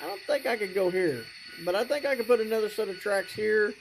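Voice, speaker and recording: A hobbyist presenter giving a casual, unscripted demonstration in a halting, rambling way, often clearing the throat. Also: medium pitch, faint music behind, poor audio. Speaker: hobbyist presenter showing a model train layout.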